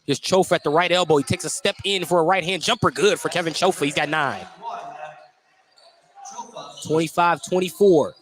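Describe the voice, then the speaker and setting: High-pitched man calling basketball play-by-play. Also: normal speed, long pauses, a bit bright. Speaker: play-by-play sports announcer. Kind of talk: live basketball radio commentary.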